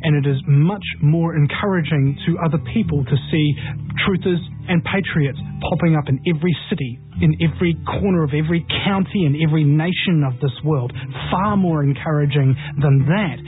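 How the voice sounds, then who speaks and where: A man's voice: on the high side, moderate pace, faint music behind. A man from New Zealand, a radio host appearing as a guest, talking in an impassioned and rousing way on talk radio. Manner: combative, rousing, rhetorical. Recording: tinny phone line, dry.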